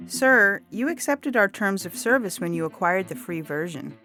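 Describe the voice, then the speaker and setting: Lady acting as fake-polite company rep, placating and officious, really swoopy pitch, sci-fi audio drama, music behind. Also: animated, moderate pace, sharp tone. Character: condescending corporate customer-relations woman with fake politeness. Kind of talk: dramatized audio fiction reading.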